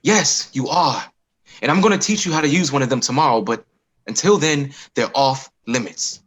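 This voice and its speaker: A man playing a protective father and survivor with an earnest, halting delivery in a dramatic table read on a video call. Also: mid-range voice, fairly bright tone, husky. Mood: firm, protective. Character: protective, grateful father surviving a zombie apocalypse. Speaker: actor in an amateur table read. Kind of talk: dramatic script reading.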